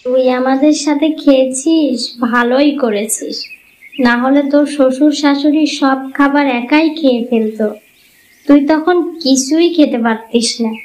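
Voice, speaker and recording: A woman's voice: sits high, unhurried, darkish tone. A woman voicing a conniving elder sister in a cartoon, giving bossy, scolding advice. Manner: scolding, instructive. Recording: muffled lo-fi audio.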